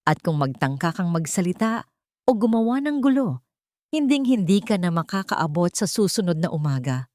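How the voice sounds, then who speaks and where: A woman's voice: fairly low pitch, very animated, moderate pace, darkish tone, no echo, clean studio sound. A woman voicing a cruel, scheming older mother in an audio drama story, sneering and commanding as she talks. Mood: menacing, commanding.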